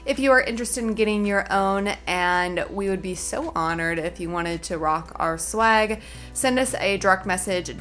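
Enthusiastic female host doing a promo read over soft music. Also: fairly low pitch. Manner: bubbly, enthusiastic. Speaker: podcast host reading an ad break. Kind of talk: upbeat scripted promo.

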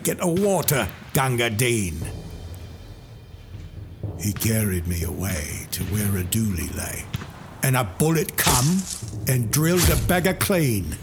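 A man doing a gravelly old soldier. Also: lowish voice, expressive, a little slow, noisy background. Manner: gruff, dialect-heavy recital with bellowed calls. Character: rough old male British soldier recalling war. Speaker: audiobook narrator. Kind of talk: dramatic poetry reading. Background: music.

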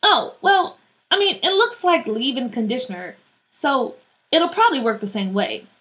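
Woman playing a high, headstrong excitable schoolgirl. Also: moderate pace. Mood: eager. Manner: eager, dramatic, exclaiming. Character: headstrong excitable schoolgirl wanting straight hair. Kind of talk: children's story character voice.

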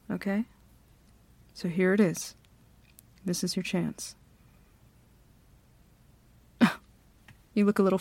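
Woman voicing a bossy female CEO, teasing and confident, slow unhurried talk, a little vocal fry. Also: mid-range voice, warm tone, dry recording. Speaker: ASMR roleplay performer. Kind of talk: flirty ASMR roleplay.

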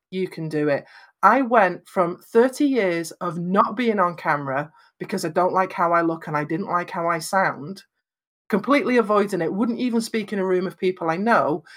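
A woman from northwest England with a Lancashire accent and flat vowels, giving encouraging advice about presenting on camera in an emphatic, conversational way. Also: mid-range voice. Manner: emphatic, encouraging, acts out little quotes. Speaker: guest giving video advice.

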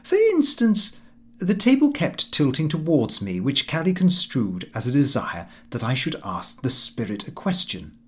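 A man's voice: mid-range voice, wildly swooping pitch, measured pace. A man voicing a pompous, fussy middle-aged Victorian clerk in comic audiobook narration, prim and precise in his delivery. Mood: earnest, grudging. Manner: prim, self-important, precise.

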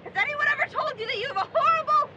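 A young woman acting a bold, sharp-tongued ghost hunter in an audio drama. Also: extremely high pitch, lively intonation, rapid-fire, highs cut off, exaggerated voice. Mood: defiant, commanding.

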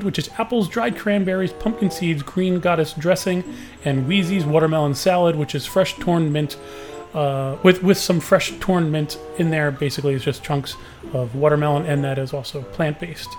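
A man with a booming, somewhat high voice hosts a podcast, recapping his day in a relaxed, chatty way. Faint music plays underneath. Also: normal speed. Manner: chatty, easygoing storytelling. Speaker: podcast host.

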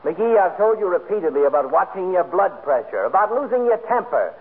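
A man's voice: high voice, very animated, fairly quick. A man playing a needling, folksy doctor who lectures wryly in a 1940s radio comedy. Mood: wry scolding. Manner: wry, scolding, folksy. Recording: tinny vintage radio recording.

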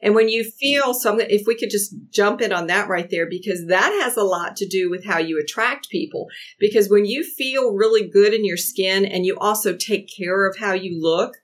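A woman's voice: medium pitch, moderate pace, hardly any pauses. Woman, 60s, guest on a chat show, earnest advice-giver who restarts her sentences. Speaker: podcast guest. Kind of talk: casual chat.

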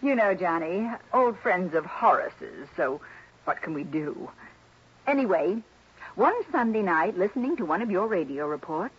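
An actress playing a chatty, warm-hearted, middle-aged wealthy hostess in a 1960s radio drama, with a breathy voice. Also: female voice, fairly low pitch, big pitch swings, moderate pace. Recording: dull lo-fi 1960s radio broadcast.

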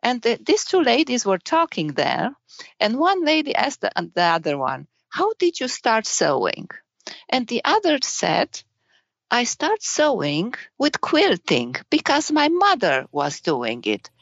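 Female podcast guest, Romanian accent, halting conversational delivery, dry but somewhat lo-fi sound. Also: a little high, wildly swooping pitch, creaky, dry recording. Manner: halting, searching for words in non-native English. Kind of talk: casual interview chat.

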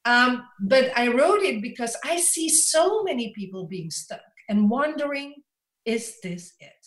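Belgian author in an echoey room. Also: female voice, on the high side, lively intonation, measured pace. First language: Dutch. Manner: reflective, laughs often. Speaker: author and coach. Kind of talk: conversational interview.

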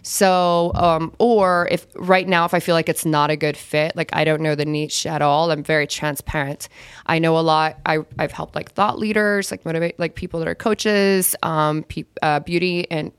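A low-voiced young woman, her voice raspy as it starts to go. She explains her business frankly in long, flowing stretches. The sound is close and dry, with a smooth, full tone under the rasp. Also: fairly even intonation, normal speed, dry recording. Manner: candid, talks in long run-on explanations. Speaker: podcast guest, brand consultant. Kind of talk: casual podcast chat.

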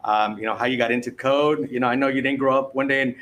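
A man's voice: medium pitch, fairly even intonation, fast talker, a bit bright, slight background noise. Man hosting a live interview. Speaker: host. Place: convention center.

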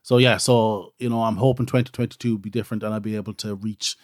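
A man's voice: on the low side, steady tone, fast, a little mellow, no room sound. Irish man hosting a podcast, dry sound. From Ireland. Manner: rambling, self-deprecating, frequent "so" and "you know". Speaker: podcast host. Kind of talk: casual solo podcast chat.

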